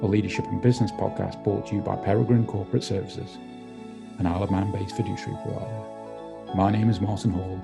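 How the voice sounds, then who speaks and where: A man with a Manx English accent hosting a business podcast, measured and polite, a little hesitant when asking questions. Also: lowish voice, vocal fry. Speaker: podcast host.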